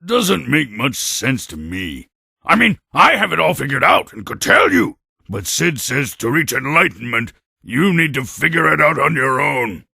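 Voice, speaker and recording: An actor playing a boastful, brawny warrior creature in a video game, blustering and menacing in a gravelly, raspy voice. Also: male voice, on the high side, very animated, a little slow, bright, forceful tone, dry recording, clean studio sound, exaggerated voice. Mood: gruff, puzzled. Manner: swaggering, bragging, menacing. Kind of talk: video game cutscene acting.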